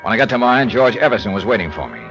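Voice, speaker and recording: An actor playing a tough, wry American insurance investigator in 1950s hardboiled radio drama, punchy and colloquial. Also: male voice, on the low side, sing-song, very fast, a bit bright, muffled recording, soft background music. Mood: narrating. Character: tough, wry American insurance investigator who narrates his own cases. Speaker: lead character and narrator. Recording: noisy old radio broadcast recording.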